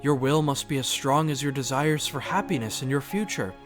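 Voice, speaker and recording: A man voicing a serene, welcoming young woman with a smooth, formal manner, in a fan audio drama. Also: medium pitch, fairly quick, over music, natural voice.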